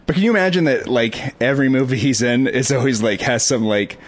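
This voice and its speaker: A man co-hosting a podcast, talking quickly and animatedly in casual chat. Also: medium pitch. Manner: quick, animated, quotes people in mock voices. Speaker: podcast co-host. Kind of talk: casual chat about movies.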